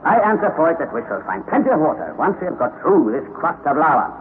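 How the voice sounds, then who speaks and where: An actor playing a commanding professor uncle leading an expedition, in a 1930s radio drama, on a tinny old recording. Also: male voice, a little high, very animated, fast talker, slight background noise. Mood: reassuring. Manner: commanding, gives orders.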